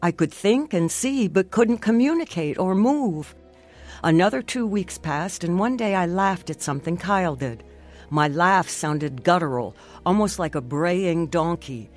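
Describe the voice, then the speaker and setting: An earnest young American stroke survivor. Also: female voice, on the low side, animated, a little slow, darkish tone, soft background music. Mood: reflective. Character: young married stroke survivor telling her story, earnest and reflective. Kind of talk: dramatized first-person testimony, radio drama narration.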